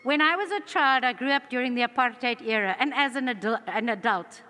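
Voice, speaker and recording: A woman's voice: a little high, brisk, sharp tone. A woman from South Africa with a South African accent, giving an earnest conference presentation in a large hall. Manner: earnest, fluent, with frequent restarts. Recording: long hall reverb.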